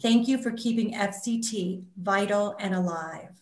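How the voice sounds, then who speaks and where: Woman, mid-pitched voice, panel presentation, echoey room, video-call microphone. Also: measured pace, a little mellow. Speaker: community theater representative on panel. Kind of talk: panel talk, reading out comments. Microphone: computer mic on video call. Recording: roomy video call audio.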